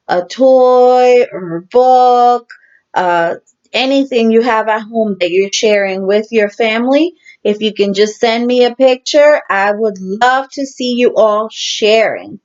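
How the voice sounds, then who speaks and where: A woman teacher reading a storybook aloud and talking simply and deliberately to young children. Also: a little high, dull audio. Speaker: teacher reading to her class.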